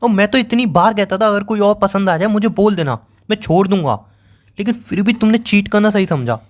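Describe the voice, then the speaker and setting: A man's voice: high voice, animated, fairly quick. A man playing a hurt, accusing young boyfriend in a dramatized breakup phone call, pleading and sarcastic and repeating himself for emphasis. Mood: anguished, raised. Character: hurt, accusing young boyfriend confronting his cheating girlfriend.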